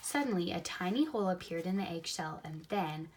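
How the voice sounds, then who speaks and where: A woman reads a picture book aloud for young children, expressively, pausing to add simple comments of her own. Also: lowish voice, animated, a little hiss or hum. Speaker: storybook reader.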